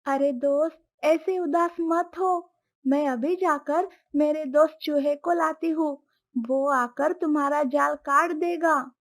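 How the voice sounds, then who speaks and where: Woman voicing a kind, high-pitched cartoon cat. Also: steady tone, takes it slowly. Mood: concerned, kind. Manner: caring, soothing. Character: kind, helpful female cat, friend to all animals. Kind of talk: animated moral story dialogue.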